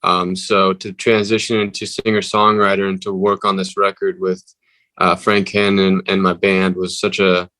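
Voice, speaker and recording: A young male musician with a deep voice, answering interview questions haltingly with many fillers. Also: little pitch movement, sharp tone, no echo. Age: young adult. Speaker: musician guest.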